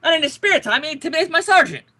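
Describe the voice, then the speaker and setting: Guy doing a grumpy sarcastic radio police lieutenant, high bright voice, blustery, swings up and down. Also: very animated, racing, exaggerated voice. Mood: exasperated. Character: exasperated, grumbling male police lieutenant. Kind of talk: 1940s detective radio drama.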